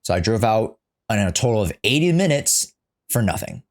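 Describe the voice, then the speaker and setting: A man's voice: lowish voice, big pitch swings, normal speed. A young man chatting casually as a livestreamer, reacting to a game story in an informal, joking, sweary way. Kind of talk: casual livestream chat and commentary.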